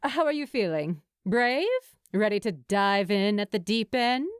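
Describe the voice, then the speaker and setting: A woman's voice: medium pitch, animated, a little slow, smooth, no echo, clean studio sound, natural voice. A woman voicing a breezy, unapologetic woman friend with a casual, goading manner in an audiobook story. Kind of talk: audiobook character dialogue.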